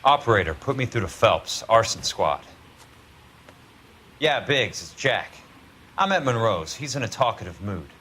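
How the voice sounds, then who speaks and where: A man's voice: fairly low pitch, sing-song, measured pace, pauses often, sharp tone, a little hiss or hum, heavily acted. An American man playing a tough 1940s insurance investigator in a video game, blunt and sardonic in dramatic delivery. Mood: terse, businesslike.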